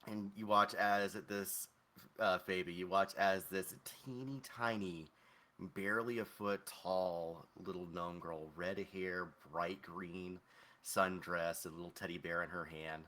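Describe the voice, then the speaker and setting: A man's voice: low-pitched, steady tone, slow pace, sharp tone. A man improvising descriptive narration as a tabletop game master.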